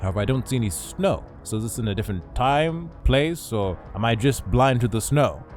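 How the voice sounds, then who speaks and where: A man of average pitch narrates a scripted explainer as co-host. He moves through his commentary at a rapid clip. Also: lively intonation, a little mellow, soft background music. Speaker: video co-host. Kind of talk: scripted video-essay commentary.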